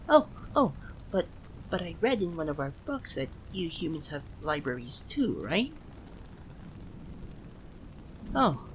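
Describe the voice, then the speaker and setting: A man voicing a proud, easily flustered young cat-eared son of a nature deity in an ASMR roleplay, speaking haltingly to the listener. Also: fairly high pitch, a bit bright, a little hiss or hum, full character voice, low-quality recording. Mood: excited. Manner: haughty yet flustered, halting.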